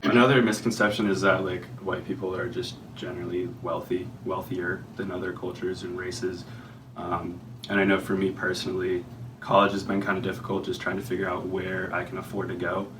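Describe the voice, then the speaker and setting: A man's voice: low voice, level tone, fairly quick. A teenage boy speaking hesitantly on a student panel, with many ums. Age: teens. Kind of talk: casual panel talk. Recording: distant mic, noisy.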